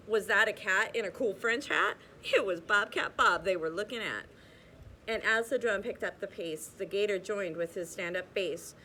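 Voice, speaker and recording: A young woman reads a rhyming children's book aloud in a bright, clear voice. She keeps a steady, bouncing rhythm and sometimes restarts a stumbled word. A faint hiss sits under the recording. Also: mid-range voice, rough recording. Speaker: reader.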